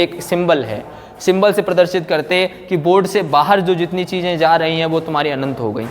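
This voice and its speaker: Guy teaching physics, slightly high voice, lots of room reverb, asks questions then answers them. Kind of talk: classroom lecture, explaining formulas. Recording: echoey hall-like reverb.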